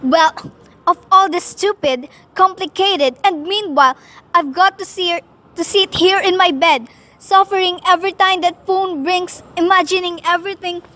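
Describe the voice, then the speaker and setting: A woman's voice: very high-pitched, moderate pace, bright, forceful tone. A woman playing a nervous, demanding, self-pitying invalid wife in an amateur radio play, agitated and complaining. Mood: irritated, frantic.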